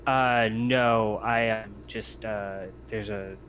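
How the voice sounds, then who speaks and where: A man acts as game master in a casual online tabletop session. He pauses often and encourages as he narrates. The sound is slightly muffled, with faint noise behind him. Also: on the low side, fairly even intonation. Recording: muffled, noisy home mic on online call.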